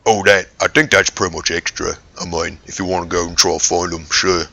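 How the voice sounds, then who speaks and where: A man chats casually as he runs a tabletop game for a group. His voice sits very deep, yet it has a bright, forceful edge. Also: flat delivery, fairly quick, vocal fry. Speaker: game master.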